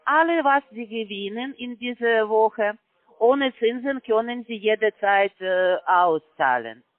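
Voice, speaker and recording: A high-voiced man talking on the phone, his German halting and broken and full of filler sounds. He is running a sales pitch. The line is thin and tinny, with a dry, close sound. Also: expressive, no echo. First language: not German. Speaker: call center salesman.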